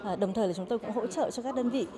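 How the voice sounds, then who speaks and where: A woman's voice: fairly high pitch, very fast. A woman in her forties, an official, interviewed in an exhibition hall, hesitating with many filler sounds. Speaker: investment promotion official. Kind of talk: on-camera interview. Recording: crowd chatter behind.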